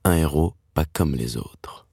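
A man in his thirties with a very low voice, a dreamy writer looking back on family history. He tells it intimately and reflectively, as in a scripted podcast drama. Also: unhurried, creaky, mellow, husky, no echo, studio quality, full character voice. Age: 30s. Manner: reflective, storytelling. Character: Jacob, dreamy thirty-something screenwriter son telling his father's story.

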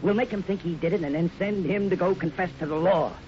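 An actor playing a sly, scheming bank robber in a radio western, smooth-talking and sardonic in a folksy way. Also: male voice, high voice, fast talker, a little mellow, natural voice. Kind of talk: 1950s radio western acting. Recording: muffled 1950s radio recording, faint noise.

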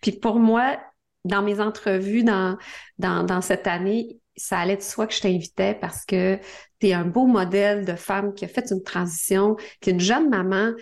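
A woman's voice: medium pitch, steady tone, moderate pace, darkish tone. A middle-aged woman with a Quebec French accent, hosting a podcast with effusive, encouraging introductions and questions. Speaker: podcast host, coach and speaker.

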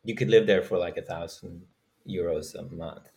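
Low-voiced man from Norway, interview guest. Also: steady tone, moderate pace, mellow. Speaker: guest, startup founder. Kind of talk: casual interview talk.

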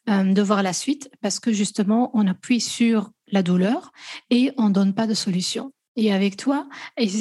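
A woman's voice: mid-range voice, no room sound, studio quality. A woman hosting an interview podcast, welcoming her guest and often hesitating with fillers as she talks. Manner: warm welcoming, many hesitations. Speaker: podcast host.

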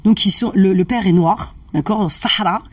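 A woman's voice: mid-range voice, moderate pace, slightly rough, dry recording, distant mic. A woman practitioner explaining family constellations in casual conversation. She checks in often with her listener. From family of Algerian roots.

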